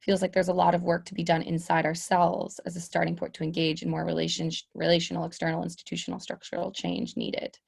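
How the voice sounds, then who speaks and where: A young woman moderating an online discussion over a laptop video call, pausing often with fillers as she reads out questions. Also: lowish voice, flat delivery, vocal fry, breathy edge. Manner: hesitant, many fillers. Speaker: webinar moderator. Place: room with sloped ceiling, indoors. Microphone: laptop webcam video call.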